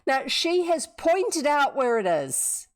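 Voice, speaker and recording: A woman's voice: sits high, sing-song, slow pace. A woman with a New Zealand accent reading a fairy tale aloud on a livestream, breaking off often for chatty asides and explanations. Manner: chatty, digressive, explains old words.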